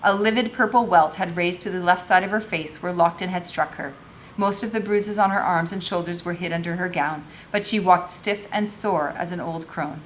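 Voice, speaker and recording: A woman's voice: lowish voice, moderate pace, slight background noise, low-quality recording. A woman teacher reading a novel aloud, moving between narration and informal explanatory commentary.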